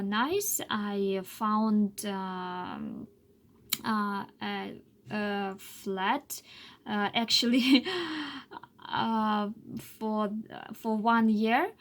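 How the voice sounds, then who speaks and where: Woman, late 20s, slow halting english full of uhs, bit of a high voice. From Russia. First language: Chuvash. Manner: halting, many filler pauses and restarts. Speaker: English learner telling her life story. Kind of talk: unscripted personal monologue.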